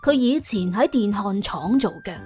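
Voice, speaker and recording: A woman's voice: medium pitch, animated, normal speed. A woman with a Cantonese accent narrating an audiobook as dramatic, wry storytelling. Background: soft background music.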